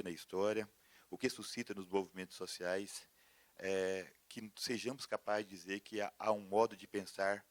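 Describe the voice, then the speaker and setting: A man's voice: low voice, dry recording. A man from Goiás, giving reflective conference remarks in a rhythmic, listing way. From Goiás, Brazil. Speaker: academic speaker.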